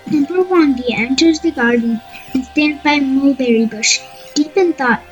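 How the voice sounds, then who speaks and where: A woman narrates a staged folktale as a scripted storyteller. Her voice is notably high, and soft music plays behind her. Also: muffled recording.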